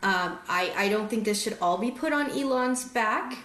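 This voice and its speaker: A woman's voice: mid-range voice, animated, normal speed, fairly bright tone. A woman from Canada talking casually, rambling with many fillers and restarts. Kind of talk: casual conversation. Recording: roomy echo.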